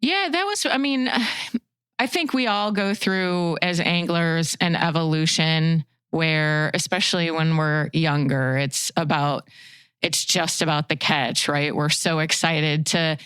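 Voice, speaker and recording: An American woman in her sixties with a slightly low, exceptionally clean and resonant voice. She talks in a reflective, heartfelt way as a podcast guest, and the recording is dry with no room sound. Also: slow, fairly bright tone, clean tone, no echo. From Chicago suburbs, USA. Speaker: podcast guest, fishing guide and outdoor writer. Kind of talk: reflective interview talk.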